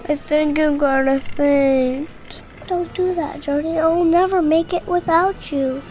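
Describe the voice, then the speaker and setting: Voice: sits high, steady tone, takes it slowly, a little mellow, soft background music. A performer playing a small, whiny, anxious pirate puppy in an audio drama. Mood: frightened.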